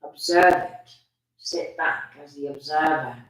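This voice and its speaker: Slow, very echoey meditation teacher. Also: mid-range voice. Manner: soothing, gentle, drawn-out phrasing with pauses. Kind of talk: guided meditation instruction. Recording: very echoey room, distant from mic.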